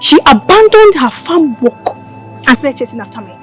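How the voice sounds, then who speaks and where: A woman's voice: high voice, big pitch swings, moderate pace. An actress with a Nigerian accent playing a hot-tempered, bullying young village woman who scolds aggressively in a film melodrama. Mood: furious accusing. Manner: aggressive, scolding, repeating threats. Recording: film dialogue under loud music.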